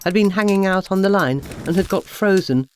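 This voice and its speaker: A woman narrating a scripted children's bedtime story in an expressive storytelling manner. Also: mid-range voice, a little fast, background noise.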